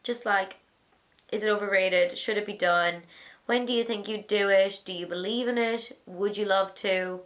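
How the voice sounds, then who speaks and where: A young Irish woman in her twenties, hosting a vlog and answering viewer questions in a frank, animated, chatty way. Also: medium pitch, normal speed. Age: 20s. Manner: animated, acts out little voices, frank and chatty. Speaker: vlogger, host of an advice series.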